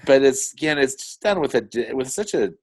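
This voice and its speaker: A man co-hosting a casual film discussion podcast, earnest and halting, often rephrasing mid-thought. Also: medium pitch, animated, a little mellow, husky, no echo. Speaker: podcast co-host reviewing animated films.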